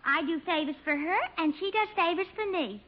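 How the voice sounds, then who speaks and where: An actress playing a naive, cheerful young job applicant in a radio comedy, American, guileless and slow on the uptake. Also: female voice, extremely high pitch. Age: young adult. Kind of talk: 1950s radio situation comedy acting. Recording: muffled old 1950 radio recording.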